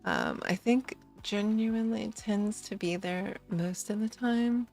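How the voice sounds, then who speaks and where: A tired woman with a slightly raspy low voice, chatting in a sleepy, giggly, rambling way as a streamer on a late-night stream. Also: slow, a little mellow, faint music behind. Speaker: VTuber streamer.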